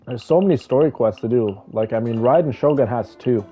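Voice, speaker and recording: A man chats casually as a livestream host, addressing his viewers like old friends. His voice sits at an average pitch. The audio is a little dull and lo-fi, with music playing faintly behind him. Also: fairly quick, slightly rough. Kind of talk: casual livestream chat, reading names and greeting viewers. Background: background music.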